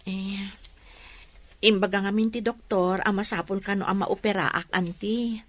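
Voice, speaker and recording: A woman with an Ilocano accent playing an ailing but resolute mother in a radio drama, speaking earnestly in a plaintive, measured way. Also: mid-range voice, fairly even intonation, no room sound, natural voice. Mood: worried, hopeful.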